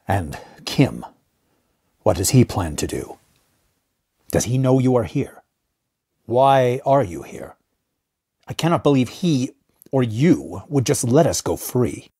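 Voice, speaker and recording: An actor playing a weary, stern guardian in an audio drama, with a husky voice and a grave, terse manner. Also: male voice, medium pitch, very animated, takes it slowly, long pauses, warm tone, exaggerated voice. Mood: wary. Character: weary, stern, protective male guardian on the run.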